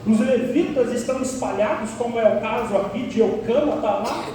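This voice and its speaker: A man's voice: extremely high pitch, moderate pace, far from the mic, a little hiss or hum. Man preaching in an echoey church hall. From Paraná, Brazil. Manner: emphatic, builds to sharp peaks on key words. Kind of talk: expository sermon. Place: church sanctuary.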